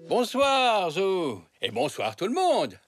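A man's voice: high-pitched, slow pace, soft background music, heavily acted. A man voicing a kindly, courteous old grandfather zebra in a French children's cartoon. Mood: friendly, upbeat. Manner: animated, courteous, expressive.

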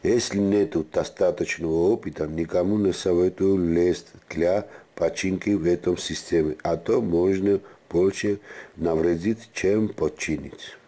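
Deep, dark-voiced man narrating a tutorial. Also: steady tone, a little slow. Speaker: car repair tutorial presenter. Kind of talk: instructional voice-over narration.

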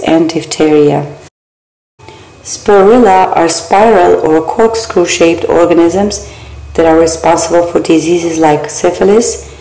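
Gravelly low-voiced woman reading slides. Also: echoey room, low-quality recording. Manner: steady, measured slide reading with small grammar slips. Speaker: barbering theory instructor. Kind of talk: reading exam slides aloud.

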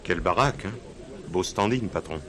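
Police assistant, deep voice, deferential, plain-spoken, radio drama, noisy recording. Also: male voice, lively intonation, brisk, fairly bright tone. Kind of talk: French detective radio play. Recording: echoey room, noisy with clicks.

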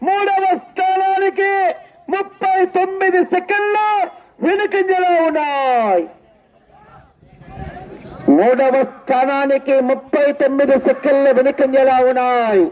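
An extremely high-pitched man with an Andhra Telugu accent calls a live rural contest. He repeats his announcements and punctuates them with exclamatory cries. The sound is dull and lo-fi. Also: a little slow. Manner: repetitive announcing broken by loud exclamatory cries. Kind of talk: live contest commentary over a public address.

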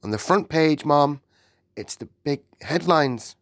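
A woman's voice: sits low, lively intonation, slow, dry recording, studio quality, pitched above the performer's own voice. A woman voicing a timid, deferential palace maid in an audiobook, stammering and quivering as she speaks. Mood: nervous, urgent.

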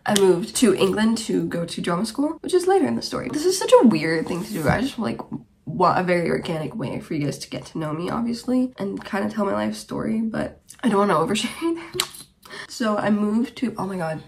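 A woman's voice: medium pitch, animated, a little fast, vocal fry. A woman around twenty from Germany, hosting her podcast in casual, rambling chat to camera in a small room. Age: about 20. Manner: rambling, chatty, tangent-prone. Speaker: podcast host. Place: small bedroom, indoors.

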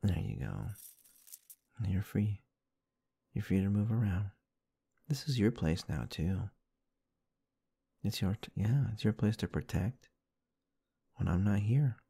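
A man doing intimate roleplay ASMR, murmuring softly and affectionately in a soothing, breathy way close to the microphone. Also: lowish voice, sing-song, slow pace, long pauses, no echo. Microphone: close-up microphone, dry.

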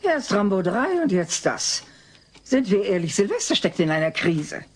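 A nosy, brash neighbour gossips in a sitcom audio drama. Her voice is husky and swings extremely widely in pitch. She is chatty and blunt. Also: big pitch swings, moderate pace. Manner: chatty, blunt, sing-song greetings.

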